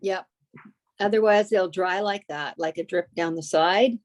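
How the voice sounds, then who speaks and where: A woman's voice: fairly low pitch, unhurried. A woman co-host chatting casually, guiding her friend step by step with lots of likes and ums. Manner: friendly, gives step-by-step advice with many fillers. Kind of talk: casual chat.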